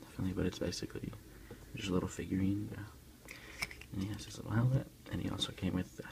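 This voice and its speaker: A man softly whispering in a breathy voice as he casually hosts an ASMR unboxing, unhurried and a little hesitant, recorded on a phone. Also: deep voice, steady tone, background noise. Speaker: ASMR video host.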